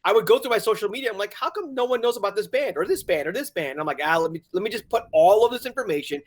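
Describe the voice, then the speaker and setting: Man, 40s, grew up in Hong Kong; lively storyteller, slightly higher voice, laughs a lot. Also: rapid-fire. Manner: animated storyteller, laughs often, acts out his own past thoughts. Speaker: guest, website founder. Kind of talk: casual interview conversation.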